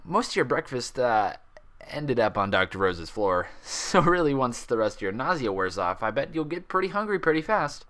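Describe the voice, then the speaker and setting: A man's voice: medium pitch, sing-song, moderate pace, a bit bright. A man voicing a kindly, casual pony doctor with a reassuring bedside manner in a story reading. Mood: friendly, amused. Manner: easygoing, reassuring bedside chatter.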